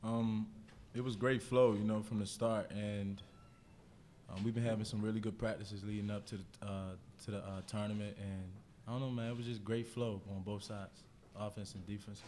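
Laid-back young man, low flat voice. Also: moderate pace, slight background noise. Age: young adult. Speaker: college basketball player. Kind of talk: postgame press conference answers. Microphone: table mic.

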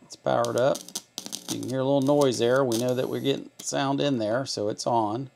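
A man's voice: medium pitch, steady tone, moderate pace, vocal fry, a little mellow, slight background noise. A man hosting a hobby video, giving a casual hands-on demonstration and explaining things, often by asking and answering his own questions. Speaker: host of an electronics hobby channel.

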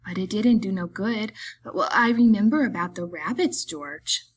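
A woman voicing a big, simple, childlike male farm laborer in an audiobook, eager and pleading, with halting, repetitive, rustic speech. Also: medium pitch, breathy edge, close to an everyday voice. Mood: timid, pleading.

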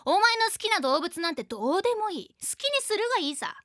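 A woman's voice: very high-pitched, sing-song, fast, a bit bright, no echo, clean studio sound, full character voice. A woman playing a cocky, eccentric, bossy teenage girl in a radio comedy drama, making blunt, grandiose declarations. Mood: scornful. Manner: blunt, grandiose declarations, third-person self-reference.

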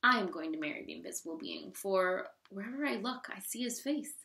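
A woman's voice: medium pitch, expressive, fairly quick, creaky, sharp tone, roomy sound, distant mic. A woman voicing a humble, hopeful youngest daughter in a folk tale, polite and earnest.

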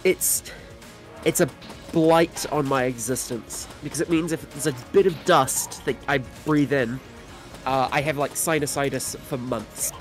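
A man's voice: medium pitch, a little slow, soft background music. A man with a slightly stuffy, sniffly nose, chatting casually and jokingly as a guest co-commentator on a game. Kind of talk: casual joking chat over gameplay.

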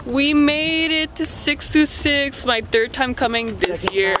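Young woman vlogger, high voice, unhurried, giggly and teasing, filmed on a handheld camera over loud crowd noise. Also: animated. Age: young adult. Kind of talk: casual vlog chatter. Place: crowded outdoor night market and a car. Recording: noisy handheld camera audio. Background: loud crowd and music.

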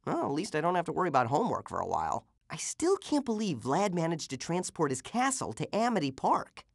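A man's voice: fairly high pitch, wildly swooping pitch, brisk, vocal fry, dry recording, clean studio sound, exaggerated voice. A man voicing a plucky, wisecracking teenage half-ghost boy superhero with a youthful voice for a video game. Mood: wry, chatty. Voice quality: youthful, boyish. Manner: exclamatory, wisecracking. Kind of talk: video game character voice clips.

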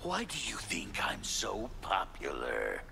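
An actor voicing a sadistic, darkly playful masked killer in a video game, gravelly and breathy, mocking as he savors his threats. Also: sits low, wildly swooping pitch, slow pace, sharp tone, a little hiss or hum, heavily acted. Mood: menacing. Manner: mocking, menacing, savoring words. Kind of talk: video game villain dialogue.

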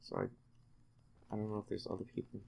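Low, creaky-voiced man gaming. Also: big pitch swings, fast, warm tone. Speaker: let's play host, playing the game. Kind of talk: casual gameplay commentary with a friend.

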